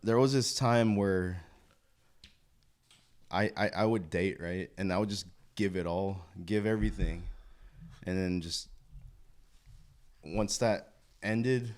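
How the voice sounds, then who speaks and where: A man's voice: deep voice, takes it slowly, pauses often, a little mellow. A man in his thirties chatting casually on a podcast, candid, slangy and freely swearing. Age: 30s. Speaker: podcast co-host.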